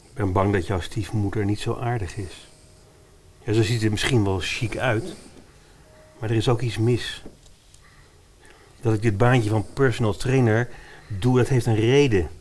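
A man's voice: on the low side, fairly even intonation, unhurried, long pauses, noisy background, natural voice. Man playing an earnest young personal trainer. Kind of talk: radio play acting.

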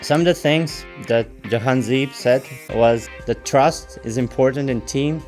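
A man hosting an interview podcast, speaking in a halting way and often restarting his phrases. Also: mid-range voice, a little mellow, faint music behind. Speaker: podcast host.

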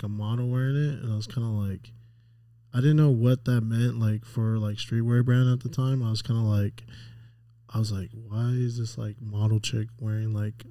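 Mellow-voiced young American man, slangy storyteller. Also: medium pitch, no room sound, clean studio sound. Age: young adult. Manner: slangy, swearing, hesitant restarts with many "like"s. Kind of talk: casual conversation, anecdotes.

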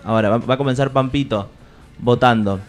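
A man's voice: mid-range voice, brisk. A man with an Argentine accent hosts a radio awards segment in unscripted, bantering talk.

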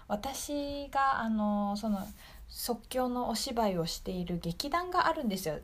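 A woman's voice: on the high side, a little mellow. A woman hosting a radio show in a casual, polite, chatty way, explaining things off the cuff.

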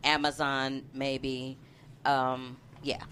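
Female panel guest, low register, informal conversational delivery, some background noise. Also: flat delivery, drawn-out words, a bit bright. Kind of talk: casual discussion.

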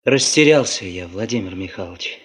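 An actor playing a young, polite, bewildered geologist in a radio drama, speaking in a hesitant, muted way. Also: male voice, low voice, expressive, a little fast, a bit bright, big-room sound. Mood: stunned, lost. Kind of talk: Soviet radio drama acting.

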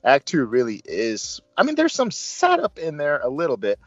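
A man talks through a casual film review as host, halting and rambling, with bursts of emphasis. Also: fairly high pitch, wildly swooping pitch, no echo, highs cut off. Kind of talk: casual movie review discussion.

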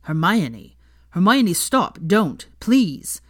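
Woman as young male romance character, anxious, stubborn, self-conscious, lowish voice, dry close recording. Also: very animated, drawn-out words, no echo, heavily acted. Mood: panicked. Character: anxious, frustrated, sulky young man in love.

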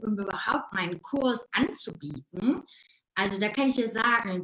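A woman coach from the Ruhr area with a Ruhr German accent, talking in a casual interview, lively and bubbly, with words tumbling out and frequent laughs. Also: on the high side, roomy sound, a little hiss or hum, rough recording.

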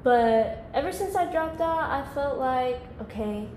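A woman's voice: high voice, expressive, measured pace, darkish tone, echoey room, a little hiss or hum. A woman in her twenties, a podcast guest, talking in a laid-back, rambling way with lots of "like". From Georgia, US. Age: 20s. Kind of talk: casual podcast chat.

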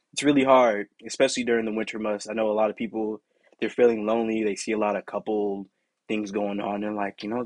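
A young man with a deep, raspy voice hosting his own podcast, talking in a candid, rambling way with lots of "you know" and "like". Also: dry recording. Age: young adult. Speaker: podcast host. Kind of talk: casual solo podcast monologue.

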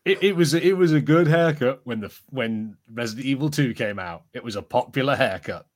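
A man's voice: medium pitch, lively intonation, brisk. A man chatting casually as a podcast co-host, with a wry, joking way of talking. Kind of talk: casual pop-culture chat.

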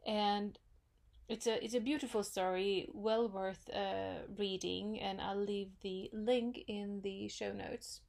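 A woman with a Norwegian accent hosts a solo podcast into a microphone. She speaks slowly at a mid pitch, musing over her ideas with frequent pauses and small fillers. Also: fairly even intonation, vocal fry, darkish tone. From Norway. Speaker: podcast host. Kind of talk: solo reflective podcast talk.

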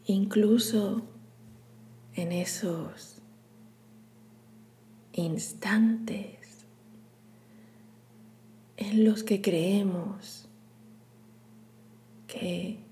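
A woman leading a guided meditation in a soothing, hushed, breathy delivery with long pauses. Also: medium pitch, fairly even intonation. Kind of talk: guided meditation, scripted narration.

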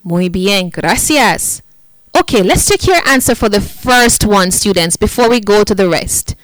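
A woman teaching a Spanish lesson to children, speaking slowly and repeating each point in Spanish and English. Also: mid-range voice, animated, dry recording, low-quality recording. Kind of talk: bilingual classroom lesson.

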